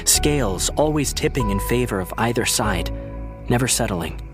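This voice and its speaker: A man's voice: medium pitch, lively intonation, natural voice. Man as brooding gothic storyteller over music. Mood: brooding, solemn. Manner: measured, solemn, dramatic pauses. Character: brooding male narrator of a gothic vampire tale. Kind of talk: scripted story narration.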